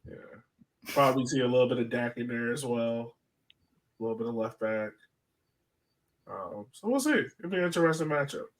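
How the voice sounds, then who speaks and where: A man's voice: mid-range voice, animated, fairly quick, pauses often, low-quality recording. A man hosting a sports podcast in a casual, chatty style.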